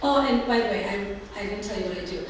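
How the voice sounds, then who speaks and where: A woman's voice: medium pitch, fast talker. A woman panelist talks in a loose, conversational way, filling her sentences with "like" and "you know." She sits some way from the microphone in a very reverberant room, with a faint hum behind her. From Somalia. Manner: chatty, frequent "like" and restarts. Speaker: alumni panelist. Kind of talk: casual panel talk. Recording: roomy, distant from the mic.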